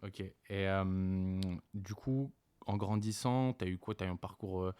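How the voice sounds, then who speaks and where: A man's voice: deep voice, unhurried, dark tone, clean tone. A man hosting an interview, asking questions in a friendly, eager way. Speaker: interview host. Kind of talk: casual interview chat.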